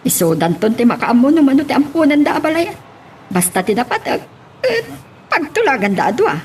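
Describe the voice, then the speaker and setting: A woman's voice: high voice, brisk. A woman with a Filipino accent playing a concerned, devout mother-in-law in an amateur audio drama, with noise behind her. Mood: earnest concern. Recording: steady background noise.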